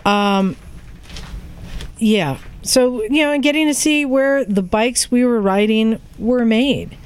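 A woman chatting casually as a podcast co-host, telling a story in an animated way and acting out what people said. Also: fairly high pitch, takes it slowly, clear, resonant tone. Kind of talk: casual storytelling in a group chat.

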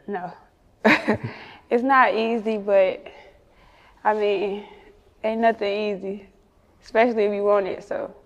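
A woman's voice: medium pitch, fairly even intonation, takes it slowly, pauses often, big-room sound, dull audio. A woman with a Chicago accent chatting casually as an interview guest, candid and slangy. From Chicago, United States. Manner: candid, slangy, often chuckling.

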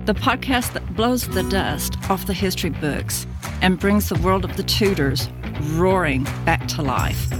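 A woman hosting a podcast, reading a scripted intro and interviewing a guest in an upbeat, enthusiastic way. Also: mid-range voice. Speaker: podcast host. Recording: dry studio sound with faint music.

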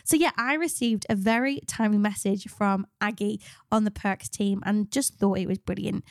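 A woman's voice: medium pitch, fairly even intonation, normal speed, no echo, studio quality. A woman co-hosting a podcast in an informal, chatty, jokey way, sounding bunged up with a cold. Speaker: podcast co-host, business psychologist.

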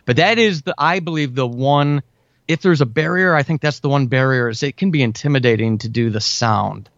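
Guy guesting on a podcast, chatty informal advice, medium pitch, kinda muffled dry sound. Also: a little fast, dry recording. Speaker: podcast guest, writer and audio drama podcaster. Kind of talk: casual interview talk, giving advice. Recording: dull, lo-fi, dry close sound.

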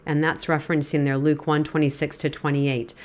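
A woman in her fifties hosts a devotional Bible podcast in a low, congested voice. She sounds stuffy from a head cold and clears her throat now and then. Her delivery is earnest and gentle as she teaches. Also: flat delivery, fairly quick. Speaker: podcast host.

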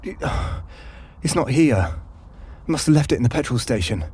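A man's voice: mid-range voice, expressive, a little slow, a little mellow, slightly rough. An actor playing a grumpy, sardonic man in an audio drama, dry, curt and bickering. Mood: tense, annoyed. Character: grumpy, sardonic man tailing people in disguise.

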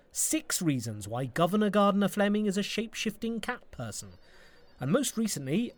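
An actor playing a mild, dry, matter-of-fact human resources man in a comedy audio drama. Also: high-pitched.

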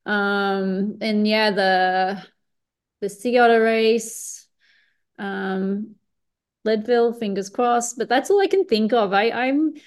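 A woman from the UK with an accent mixing British and Australian, chatting casually as an interview guest, relaxed and rambling with many fillers. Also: medium pitch, slow, smooth. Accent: mix of British and Australian. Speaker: guest, retired pro triathlete.

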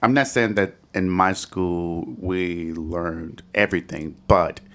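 Low-voiced American man hosting a discussion. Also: slow pace, fairly bright tone. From Detroit, United States. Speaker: podcast host. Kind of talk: conversational discussion and interviewing.

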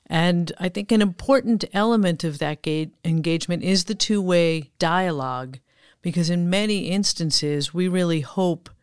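A woman giving measured, businesslike explanations as an executive guest in a podcast interview. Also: low voice, smooth, dry recording, studio quality. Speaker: podcast guest, chief investment officer.

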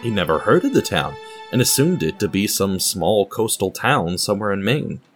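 A male narrator reads a scripted dramatic tale. His voice sits a little low, but it moves up and down in pitch with lively expression. Soft music plays behind the narration. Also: normal speed. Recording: music under the voice.

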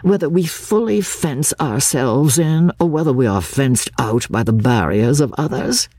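A man's voice: medium pitch, animated, a little slow, warm tone, no echo, clean studio sound. A woman voicing a supercilious, irritable young Englishman in an audiobook, pompous and affectedly worldly. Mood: lofty. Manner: pompous, hesitant restarts.

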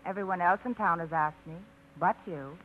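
A woman's voice: on the low side, normal speed, close to an everyday voice. An actress in a 1950s radio play, playing a sincere, modest single woman who speaks earnestly and plainly. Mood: quiet confiding. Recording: muffled, noisy 1950s radio recording.

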